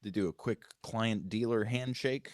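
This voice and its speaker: A man's voice: fairly low pitch, measured pace, no echo, studio quality. A man reading screenplay stage directions aloud as scripted narration at a table read.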